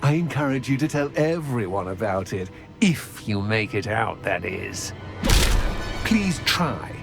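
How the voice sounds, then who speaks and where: A man's voice: on the low side, sing-song, unhurried, creaky, full character voice. A man voicing a gleeful, menacing trickster spirit in a video game, theatrical, mock-sweet and taunting. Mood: mocking, playful. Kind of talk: video game villain acting. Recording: loud music behind the voice. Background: loud game music.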